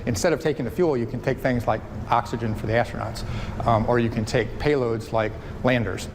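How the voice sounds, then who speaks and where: Mid-pitched man explaining in interview. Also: steady tone, brisk, background noise. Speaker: space researcher.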